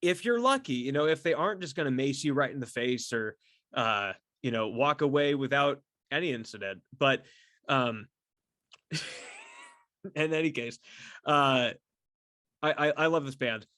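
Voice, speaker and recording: A man chatting casually and solo as a podcast host, effusive and halting, repeating words and swearing freely as he rambles. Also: medium pitch, bright, forceful tone, no room sound, clean studio sound. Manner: effusive, enthusiastic fan talk. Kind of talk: casual solo chat to camera about a favorite band.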